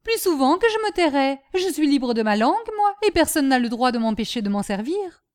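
A woman voicing an insolent, hot-tempered young maid in a storybook, defiant and sharp-tongued. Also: high-pitched, very animated, a little fast, pitched above the performer's own voice.

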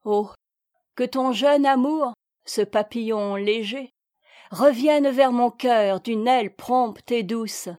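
A woman recites poetry in a fairly high voice. She takes her time, delivering the verse very slowly. The recording is dry, with hardly any room sound. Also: a little mellow, no room sound. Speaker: volunteer poetry reader.

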